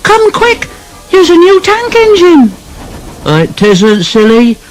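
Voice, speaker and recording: Excited squeaky little boys. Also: male voice, slow pace, soft background music, full character voice, low-quality recording.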